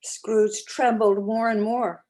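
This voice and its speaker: A woman's voice: a little high, level tone, takes it slowly, darkish tone. A woman narrating a classic story aloud as an amateur dramatic reading.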